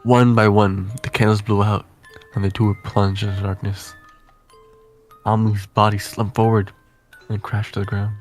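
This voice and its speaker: A man with a fairly low voice narrates a visual novel aloud. His phrasing is deliberate and suspenseful, like a dramatic storyteller's, and faint music plays behind him. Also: little pitch movement.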